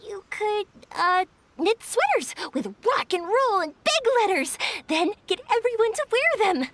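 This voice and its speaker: A woman's voice: extremely high pitch, sing-song, measured pace, a bit bright, dry recording, heavily acted. A woman playing a bubbly, playful young fireworks maker in a video game, with a breezy manner. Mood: cheerful. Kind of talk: video game cutscene dialogue.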